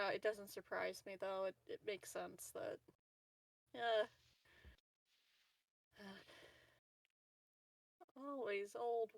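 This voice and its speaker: A woman's voice: fairly high pitch, vocal fry, no room sound, far from the mic, low-quality recording. A woman playing a tabletop game, chatting casually in a wry, loose, slangy way.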